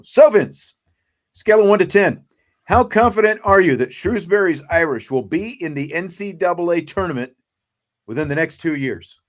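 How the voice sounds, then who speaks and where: An American man speaking as a sports talk show host, discussing teams in a casual, conversational style. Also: medium pitch.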